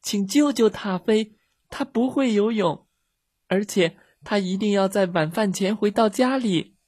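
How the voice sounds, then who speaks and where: A storyteller voicing an anxious, excitable little girl in a children's story. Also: medium pitch, slow, dry recording, studio quality, voice pushed up. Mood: urgent pleading. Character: two anxious, excitable little girls out dog-walking.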